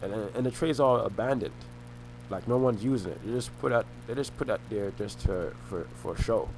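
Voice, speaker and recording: Halting, low-voiced man, rambling. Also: darkish tone, slight background noise, rough recording. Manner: halting, repeats and restarts phrases. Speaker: vlogger. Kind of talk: rambling personal monologue.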